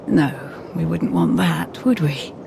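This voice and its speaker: A woman's voice: extremely low pitch, huge pitch swings, noisy background, full character voice. Composed, formal female advisor. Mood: worried. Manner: formal, measured. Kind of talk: video game cutscene acting.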